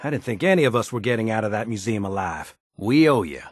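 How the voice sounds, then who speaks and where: A man's voice: lowish voice, lively intonation, fast, no room sound, clean studio sound. An actor playing an easygoing, wry wasteland handyman in a video game, with a folksy, slangy way of talking. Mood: matter-of-fact. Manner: folksy, colloquial, drawling slang. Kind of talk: video game character dialogue.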